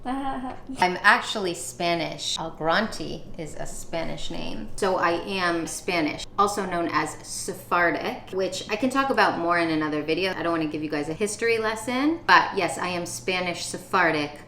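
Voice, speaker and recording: A woman in her thirties chats casually and confidently as she cooks, tossing in playful asides. Her voice sits slightly low. The kitchen echoes, with a faint hiss and a sizzling pan behind her. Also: lively intonation, normal speed, sharp tone. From Canada. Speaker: vlogger. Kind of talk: casual chat while cooking. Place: home kitchen.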